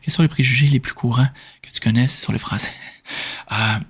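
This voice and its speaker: A man from Quebec with a Québécois French accent, speaking in a soft, breathy whisper as he informally answers questions in an ASMR style. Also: medium pitch, steady tone, a little fast. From Quebec, Canada. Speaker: ASMR video creator answering viewer questions.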